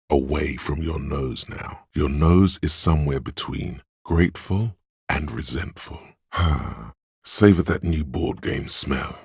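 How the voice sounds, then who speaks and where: A man's voice: a bass voice, gravelly, slightly rough, dry recording, clean studio sound, full character voice. A man voicing a wry, disembodied inner sense of smell that narrates to the player in sardonic second-person descriptions for a video game.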